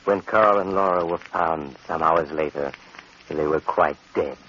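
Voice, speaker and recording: Very deep, sinister radio horror storyteller. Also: male voice, a bit bright. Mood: grimly relishing. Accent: American. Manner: ominous, theatrical. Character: sinister doctor storyteller, macabre and slyly genial. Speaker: host and narrator. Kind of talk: 1940s radio horror narration. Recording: dull, noisy 1940s radio recording.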